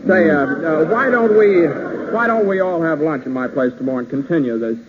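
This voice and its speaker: An actor playing a hesitant, conciliatory friend in 1930s radio comedy, coaxing in a husky voice on an old recording. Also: male voice, a little high, fairly quick, highs cut off, background noise, natural voice. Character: conciliatory, hesitant male friend patching up a quarrel.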